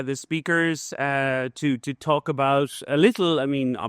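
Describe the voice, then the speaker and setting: A man's voice: mid-range voice, a bit bright, smooth, no echo, studio quality. A man hosting a podcast interview at a conference, chatty and enthusiastic, with many fillers and restarts. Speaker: host, business school professor. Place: conference event.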